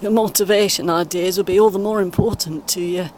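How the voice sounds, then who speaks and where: A woman playing a kindly, encouraging British grandmother in her eighties in an audio play, speaking gently and reassuringly. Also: fairly even intonation, brisk, lightly acted. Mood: friendly. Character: kindly, encouraging 81-year-old grandmother on a coach. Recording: clear vehicle rumble noise. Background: vehicle ambience.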